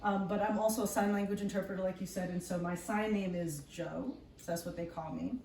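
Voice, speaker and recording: A woman's voice: mid-range voice, fairly even intonation, fairly quick, creaky, a little mellow. A woman speaking enthusiastically to a live group as a presenter, laughing often. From United States. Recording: roomy, distant mic, some noise.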